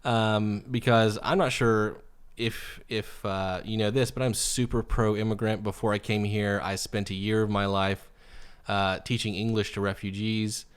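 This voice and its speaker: Podcast host, man in his thirties, low and smooth voice, rambling easygoing questions. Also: flat delivery, a little slow, few pauses. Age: 30s. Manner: chatty, loose, many fillers and restarts. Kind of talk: casual interview.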